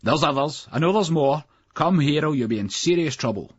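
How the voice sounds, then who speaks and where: Stern Scottish schoolmaster, pompous and sneering, voiced by a man, husky and raised in pitch, dry muffled sound. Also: animated, brisk, dry recording. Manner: stern, clipped, mocking. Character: strict pompous male schoolteacher, middle-aged.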